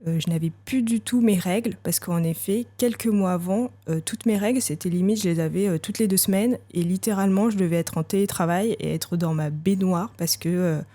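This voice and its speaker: Woman in her thirties, low, warm voice; candid podcast guest, hesitant, pausing often to find words. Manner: hesitant and reflective, with frequent filler pauses. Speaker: podcast guest sharing a personal story. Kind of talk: candid personal testimony.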